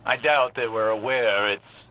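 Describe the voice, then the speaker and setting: A grave scholarly sorcerer. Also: male voice, on the low side. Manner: calm, deliberate and grave. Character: wise, measured old male sorcerer-scholar. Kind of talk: video game dialogue. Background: game score music.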